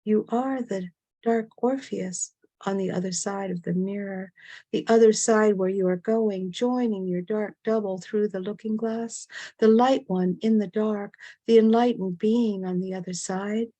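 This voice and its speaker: Slow, measured woman reading poetry. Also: medium pitch, fairly even intonation, dark tone, no room sound. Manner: deliberate, incantatory, short phrases with pauses. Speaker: poet reading own work.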